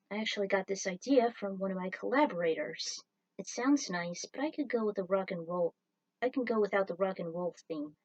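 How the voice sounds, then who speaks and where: A woman narrates a written story at a middle pitch. She reads from a script as a storyteller, setting out scenes and commentary in her own voice. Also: muffled recording. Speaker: narrator and writer of fan fiction.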